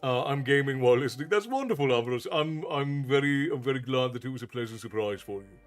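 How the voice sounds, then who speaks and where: A man's voice: mid-range voice, expressive, fast, soft background music. Folksy Irish-tinged streamer man. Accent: Americanized Southern Irish. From Ireland. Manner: folksy, thanks chat by name, calls viewers "love". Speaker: streamer and storyteller host. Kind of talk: casual livestream chat.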